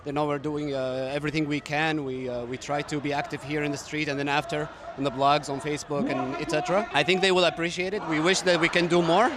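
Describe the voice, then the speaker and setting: A man at a street protest, speaking to an interviewer with many pauses and fillers. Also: on the high side, flat delivery. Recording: outdoor rally with crowd noise.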